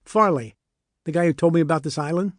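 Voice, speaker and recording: A man's voice: fairly high pitch, fast talker, no echo, studio quality, natural voice. A man playing an easygoing, reassuring middle-aged dad in audiobook memoir dialogue, casual and offhand.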